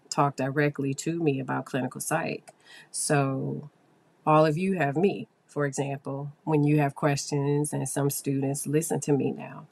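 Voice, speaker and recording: A hoarse woman hosting a psychology podcast, talking casually and explaining things with fillers. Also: rumbling low, darkish tone. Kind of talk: casual solo podcast talk.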